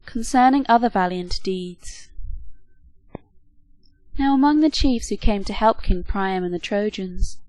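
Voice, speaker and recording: A woman narrating a storybook tale in a steady, clear reading. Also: medium pitch, unhurried, long pauses, poor audio. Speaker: reader.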